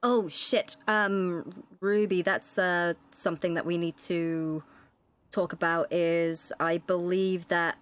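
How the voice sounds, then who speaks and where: Lady in her 30s doing a police chief in rp, kinda low voice, swears a lot, worried rambling. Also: flat delivery, slow, clean tone. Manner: swears freely, worried and explaining at length. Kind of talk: in-character roleplay chat.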